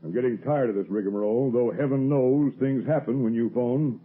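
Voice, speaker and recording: Man playing a gruff, husky police commissioner. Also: medium pitch, a little fast, lightly acted. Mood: irritated skepticism. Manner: brusque, blustery, dismissive. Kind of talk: 1930s radio crime drama acting. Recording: muffled, tinny old radio recording.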